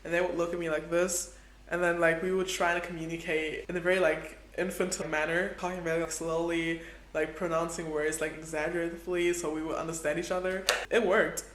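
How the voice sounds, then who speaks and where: A man's voice: on the high side, level tone, brisk, a bit bright. A man in his twenties chatting casually in a travel vlog, filling his talk with "like". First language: Portuguese is his second language. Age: 20s. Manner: chatty, heavy use of "like". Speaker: travel vlogger. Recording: echoey room with faint ambience.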